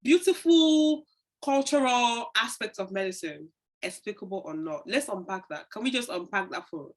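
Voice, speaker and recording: A woman's voice: mid-range voice, animated, normal speed. A woman who grew up in Cameroon and Tanzania, co-hosting a discussion podcast with a playful, emphatic, joking manner. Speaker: podcast co-host. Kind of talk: casual discussion.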